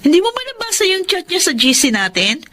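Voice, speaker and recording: A woman's voice: very high voice, big pitch swings, brisk, bright, forceful tone, a little hiss or hum, heavily acted. An actress playing a cautious but playful thirty-year-old woman in a Filipino radio drama, conversational and mildly protesting. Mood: matter-of-fact.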